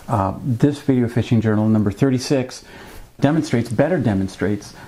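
A man in his fifties hosting a fishing vlog, explaining things to camera in a conversational, measured way with wry asides. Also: medium pitch, mellow, slight background noise. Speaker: fishing channel host. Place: small indoor room at a desk with a computer.

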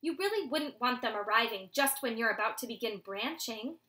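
A woman's voice: a little high, lively intonation, moderate pace, pushed and bright. A woman voicing a sulky owlet brother. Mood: sullen. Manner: dull, sullen retorts.

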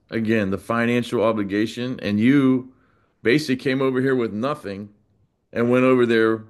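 A man in his fifties with an American accent, hosting a casual interview over a video call. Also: mid-range voice. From United States. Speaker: interview host. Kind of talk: casual chat. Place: small indoor room, on a video call.

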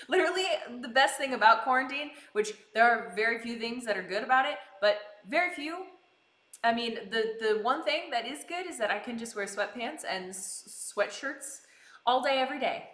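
A woman hosts a casual livestream in a bubbly, giggly manner. Her voice is fairly high and very bright, and she calls out greetings to viewers. The room around her is noticeably echoey. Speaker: live streamer hosting a cooking stream. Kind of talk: casual chat with live viewers. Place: indoors in a kitchen. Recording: roomy, echoing indoor sound.

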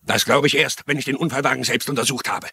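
An actor playing a stubborn, indignant old man with a gruff, combative manner in a dubbed TV crime drama. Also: medium pitch, steady tone, fast talker, bright, forceful tone, heavily acted. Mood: indignant, defiant. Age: older.

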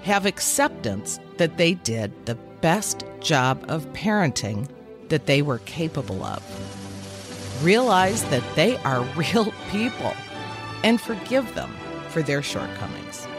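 Woman in her 50s doing a pretend commencement speech, slow n deliberate, music playing under it. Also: low-pitched, very animated. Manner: measured, deliberate delivery with emphatic pauses. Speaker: podcast host.

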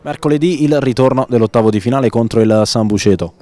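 A man interviewing players after a match, asking leading questions with pauses and fillers. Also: mid-range voice, warm tone. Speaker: sideline interviewer.

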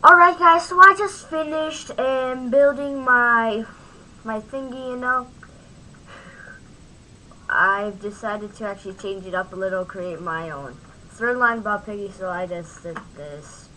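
A woman giving a casual software tutorial in an informal, halting way, often interrupting and talking to herself. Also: on the high side, poor audio. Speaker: tutorial host. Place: at home, at a computer.

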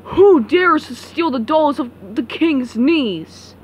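A woman's voice: sits high, lively intonation, slow, a little mellow, pitched below the performer's own voice, rough recording. A woman voicing a pompous, commanding male sea king who makes proclamations, in an amateur cartoon dub. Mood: haughty.

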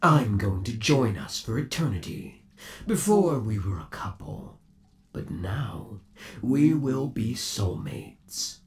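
A man's voice: medium pitch, sing-song, slow pace. A man playing an undead, obsessive boyfriend trapped in a mechanical suit, sweet-talking and possessive in a theatrical ASMR roleplay. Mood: doting, menacing. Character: undead yandere boyfriend trapped in springlock suit, menacingly doting. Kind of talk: ASMR roleplay, scripted monologue to the listener.

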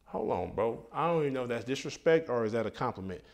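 A man acts out a brazen, laid-back lover in an online comedy sketch, with an American accent and a middle-pitched voice. He talks in loose street slang. When challenged, he turns indignant without dropping the casual swagger. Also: expressive, quick pace, natural voice. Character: laid-back, brazen, broke late-night hookup guy. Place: furnished indoor room, filmed sketch.

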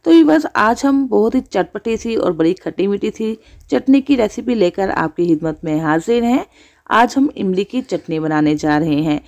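A woman's voice: medium pitch, expressive, moderate pace, darkish tone. A woman hosting a cooking channel, narrating a recipe step by step as a voice-over.

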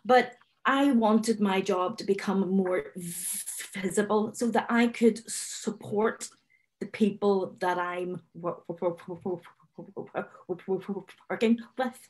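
A woman's voice: medium pitch, slow pace, darkish tone. A woman in her forties with a Northern Irish accent, speaking on a video call panel and stammering openly with repeated sounds, direct and confident. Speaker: webinar panellist, finance manager. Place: home room, indoors. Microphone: video call webcam.

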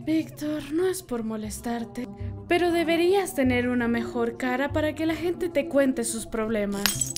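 A woman's voice: high voice, lively intonation, measured pace, music in the background. A woman playing a wry, melancholy female cat club owner in a cartoon, with a Latin American accent. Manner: languid, wry. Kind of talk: animated cartoon fandub.

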